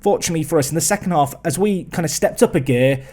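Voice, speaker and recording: Chatty man, fairly high voice. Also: fast, darkish tone. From England. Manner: chatty, self-mocking, trails off and restarts. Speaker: gaming video host. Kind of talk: casual game commentary.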